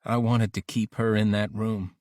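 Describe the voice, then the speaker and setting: A man's voice: fairly low pitch, flat delivery, moderate pace, darkish tone, dry recording, clean studio sound, natural voice. A man narrating an audiobook diary as an ambitious, lovesick teenage boy, introspective and intense. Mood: lovesick, searching. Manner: introspective, self-questioning, intense.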